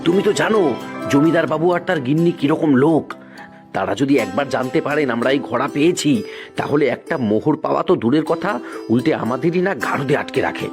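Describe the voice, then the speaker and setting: A man's voice: fairly high pitch, lively intonation, quick pace, music in the background. A man voicing a shrewd, humble, cautious village farmer in a cartoon folk tale. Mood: secretive, cautious.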